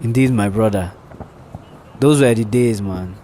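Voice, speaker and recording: An actor playing a brotherly veteran of missions with a casual, familiar way of talking. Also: male voice, fairly low pitch, measured pace, mellow, a little hiss or hum, lightly acted. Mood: friendly.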